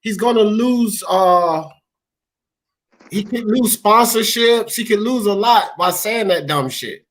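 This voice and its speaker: American guy doing live sports talk, really high voice for a man, blunt, slangy, cusses a lot. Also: takes it slowly. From United States. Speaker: talk show host.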